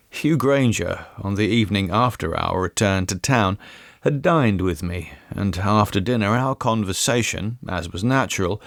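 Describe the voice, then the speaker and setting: A man narrates an eerie literary tale in a measured, storytelling manner. His voice sits on the low side and moves expressively through a wide range of pitch. The recording is dry, with hardly any room sound. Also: no room sound. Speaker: audiobook reader.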